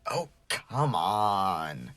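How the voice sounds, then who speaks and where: Guy doing a sarcastic streetwise high school kid, slow talker, stammers, dry close sound, audio drama. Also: very animated, a bit bright, no echo, heavily acted. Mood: teasing, incredulous. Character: easygoing, wisecracking, streetwise male high school student. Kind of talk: fan audio drama acting.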